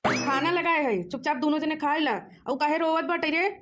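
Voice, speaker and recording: A woman playing a scolding, sharp-tongued village mother in a comic cartoon, speaking with a rustic eastern Uttar Pradesh accent. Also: high voice, steady tone, normal speed, a little hiss or hum. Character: scolding village mother, exasperated, threatening, fond of nephew.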